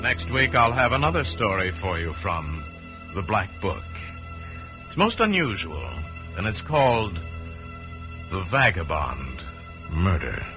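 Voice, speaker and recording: A man's voice: low voice, sing-song, slow pace, long pauses, full character voice. An American actor giving a dramatic radio suspense performance as a storyteller and an anguished, scheming man in love. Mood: grave, suspenseful. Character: anguished scheming murderer, a lovestruck man telling his downfall. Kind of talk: 1950s radio suspense acting, dramatic narration. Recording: muffled vintage radio recording, music underneath.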